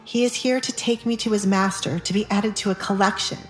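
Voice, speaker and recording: A woman voicing a possessive, quietly unhinged young nobleman in an audio romance drama, low-toned and threatening. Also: steady tone, fairly quick, faint music behind, lightly acted. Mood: cold, protective.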